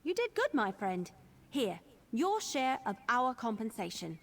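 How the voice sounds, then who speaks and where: An actress playing a determined young adventurer in a video game, speaking in an earnest, emphatic, fiery way. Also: female voice, a little high, sing-song, unhurried, heavily acted. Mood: resolute, friendly. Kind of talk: video game character dialogue.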